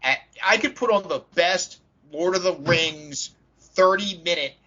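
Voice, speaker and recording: A man's voice: sits high, unhurried, bright, forceful tone, muffled recording. A man in casual, opinionated chat as a podcast co-host about tabletop games, direct and emphatic when giving advice.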